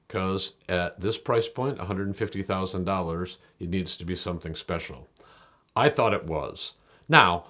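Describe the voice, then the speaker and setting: A man presenting a product review as an unscripted talk, speaking in a measured, deliberate way with pauses and stressed key words. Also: low-pitched, fairly bright tone. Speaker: audio magazine reviewer and host.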